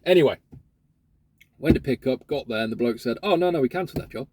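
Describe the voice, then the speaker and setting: A man's voice: mid-range voice, lively intonation, fast, background noise. A man vlogging casually about his courier work, chatty and grumbling, swearing now and then. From England. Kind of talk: casual vlog monologue.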